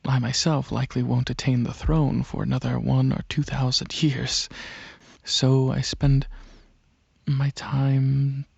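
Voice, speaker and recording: A man playing a gentle dragon alien prince in a soft-spoken ASMR roleplay, talking to the listener in a hesitant, soothing way with many pauses. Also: medium pitch, flat delivery, vocal fry, slightly rough, dry recording, dull audio, heavily acted. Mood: calm, explaining. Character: gentle dragon alien prince, centuries-old royal researcher, softly coaxing.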